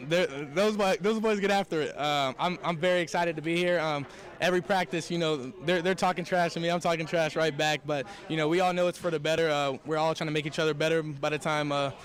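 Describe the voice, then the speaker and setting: Fast-talking young man, higher-pitched voice. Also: fairly even intonation. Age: young adult. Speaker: college football quarterback. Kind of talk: press interview answers.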